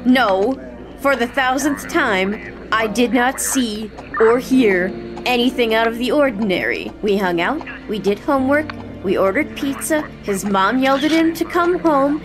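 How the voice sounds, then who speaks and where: A woman's voice: a little high, rough recording. A young woman acting a sarcastic, determined teenage girl in an audio drama, both in dialogue and narration. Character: sarcastic, determined teenage girl hunting for her missing friend.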